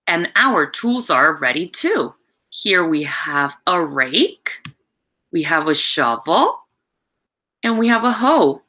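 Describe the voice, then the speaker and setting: A woman's voice: on the low side, sharp tone. A middle-aged woman reading a picture book aloud to young children as a teacher, explaining expressively and addressing them directly over a video call. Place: home room with bookshelves.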